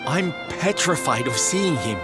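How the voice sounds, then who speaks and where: An actor playing a flamboyant, warm-hearted male troupe leader in a video game cutscene, with camp, affectionate delivery. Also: a little high, expressive, measured pace. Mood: earnest, confiding. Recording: music behind the voice.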